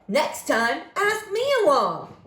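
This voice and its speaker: Woman voicing an emphatic, animated storybook dad. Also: sits high, takes it slowly, pitched above the performer's own voice, heavily acted. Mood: playful. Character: storybook father, exasperated yet playful grown man. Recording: roomy echo, faint background noise.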